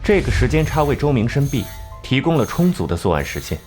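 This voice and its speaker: A man acting a cool, sardonic genius detective in an animated crime drama. His mid-pitched voice rises and dips expressively as he reasons in measured, analytical steps, with music playing behind him. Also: close to an everyday voice. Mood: coolly explaining. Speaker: detective laying out a case.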